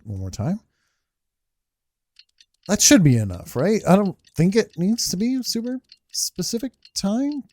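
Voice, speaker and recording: A man giving casual, low-key reactions and muttered commentary as he hosts a game playthrough. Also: sing-song, unhurried, pauses often, darkish tone, a little hiss or hum. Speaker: gameplay video host.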